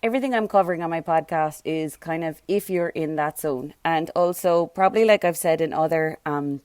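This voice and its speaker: A hoarse woman hosting a casual solo podcast, chatting loosely with plenty of fillers and tangents. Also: low-pitched, a little fast, a little mellow, no echo. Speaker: podcast host.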